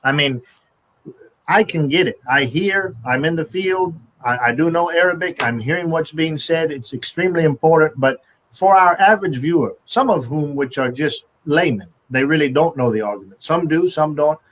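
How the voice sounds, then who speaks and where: A middle-aged man hosting a panel discussion over a video call, speaking courteously with halting restarts. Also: fairly high pitch, fairly bright tone, rough recording. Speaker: show host. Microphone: video call webcam.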